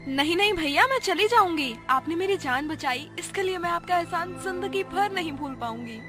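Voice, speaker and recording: A woman's voice: extremely high pitch, expressive, moderate pace. A woman voicing an emotional, sisterly young woman in a cartoon story, with a sweet, earnest, dramatic delivery. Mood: grateful. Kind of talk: Hindi animated story dialogue. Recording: music behind the voice.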